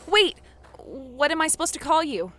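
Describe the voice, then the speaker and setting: A woman's voice: sits high, big pitch swings, moderate pace, a bit bright. An actress playing a young woman protagonist in an audio drama, shaken but determined. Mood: urgent. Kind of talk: audio fiction drama acting.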